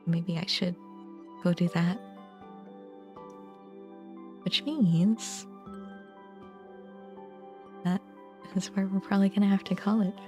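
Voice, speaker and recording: A young adult woman chatting casually on a livestream, musing informally in short, halting phrases. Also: medium pitch, steady tone, dark tone. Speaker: game streamer. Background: background music.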